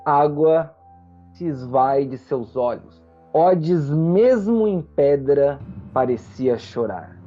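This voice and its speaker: Mestre de RPG, homem de voz aveludada. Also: male voice, fairly high pitch, lively intonation, dark tone, muffled recording, faint music behind. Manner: theatrical, with deliberate pauses. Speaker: game master. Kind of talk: dramatic tabletop game narration.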